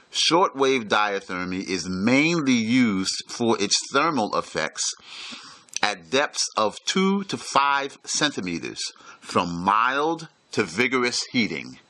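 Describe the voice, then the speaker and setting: Bright-voiced man narrating a lecture. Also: mid-range voice. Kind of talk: scripted instructional narration.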